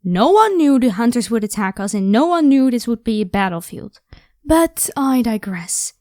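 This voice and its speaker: Woman as a high-voiced, caring vampire woman. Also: big pitch swings, moderate pace, darkish tone, dry recording, studio quality. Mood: firm, protective. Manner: casual, encouraging, reassuring. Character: caring, protective, playful young vampire woman. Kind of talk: ASMR audio roleplay.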